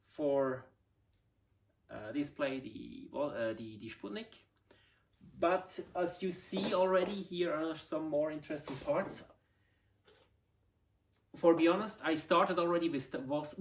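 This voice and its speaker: A man in his forties, a Swiss German native speaker with a Swiss German accent, hosting a casual hobby video in halting English with many fillers as he searches for words. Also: a little high, a little hiss or hum. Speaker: model rocket hobbyist, channel host. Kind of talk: casual show-and-tell video.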